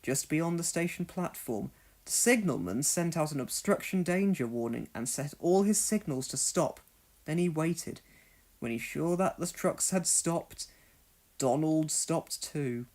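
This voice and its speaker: A narrator reading a children's read-along story expressively, in deliberate phrases. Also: medium pitch, expressive, a little mellow.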